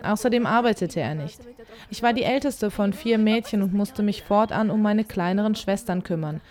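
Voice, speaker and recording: Quiet female first-person dub voice. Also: mid-range voice, normal speed. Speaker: voice-over translator. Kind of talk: voice-over dubbing in first person.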